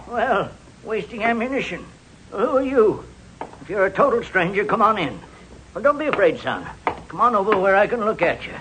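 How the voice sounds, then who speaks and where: An actor playing a frail, boastful, wicked old man, rambling and gloating in a wheezy voice in a 1940s radio drama. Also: sits high. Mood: cranky, drowsy. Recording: old, faintly noisy radio recording.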